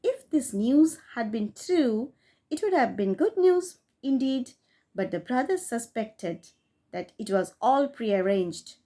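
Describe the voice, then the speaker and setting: Woman reading a story, slightly high voice. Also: takes it slowly. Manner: steady storytelling read with rising emphasis on key phrases. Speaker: audiobook reader. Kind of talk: reading a classic short story aloud.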